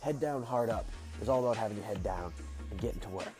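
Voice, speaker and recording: A man hosting his daily show, talking casually and encouragingly, a bit stuffy and nasal from his sinuses, speaking slowly to enunciate. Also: lowish voice, animated, a little mellow, soft background music. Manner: slow, careful enunciation. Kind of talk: casual motivational monologue.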